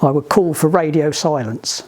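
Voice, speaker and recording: A man giving informal, practical instruction to a group, halting and often restarting his phrases. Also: fairly high pitch, a little hiss or hum. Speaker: instructor at a sailing club radio session. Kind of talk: informal instructional talk with questions.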